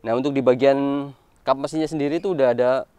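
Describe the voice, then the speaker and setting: A man's voice: medium pitch, steady tone, fast, mellow, clean tone, no room sound. A man giving a casual walkaround car review, explaining things informally point by point.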